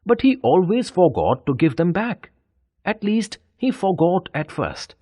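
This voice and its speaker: A man reading a scripted children's bedtime story as an expressive, deliberate storyteller. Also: sits high, breathy edge, no echo.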